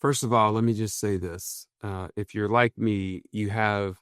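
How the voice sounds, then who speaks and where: A man's voice: on the low side, steady tone, measured pace, a bit bright, dry recording, clean studio sound. Emphatic male podcast co-host. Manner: emphatic, motivational. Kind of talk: podcast chat.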